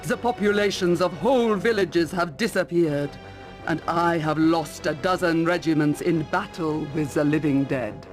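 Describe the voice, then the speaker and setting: A woman's voice: low-pitched, moderate pace, faint music behind, close to an everyday voice. A woman playing a regal, composed tsarina in a fantasy game, speaking in a stately, imperious manner. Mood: regal, measured. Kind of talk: scripted fantasy game acting.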